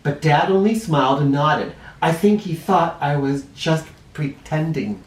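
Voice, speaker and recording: Animated male storybook reader in an echoey room. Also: on the high side, unhurried. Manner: animated, dramatic emphasis, speaking directly to young listeners. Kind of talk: expressive read-aloud storytelling for children. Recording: roomy, echoing, somewhat distant microphone.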